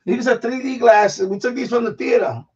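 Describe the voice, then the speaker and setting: A man with a creaky, gravelly edge rambles through an informal live stream from a room at home. His talk is slangy and loose, half to himself. The sound is dull and lo-fi, picked up from a distance. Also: very high-pitched, moderate pace, darkish tone. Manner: informal, slangy, swearing, talking to himself while handling objects. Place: room at home being set up as a radio shack.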